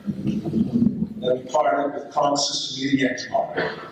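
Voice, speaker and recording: A man's voice: medium pitch, flat delivery, a little slow, close to an everyday voice. A man playing a British soldier reading his letter home from the trenches in a readers theater performance. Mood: earnest, reflective. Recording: very echoey hall, distant mic, noisy.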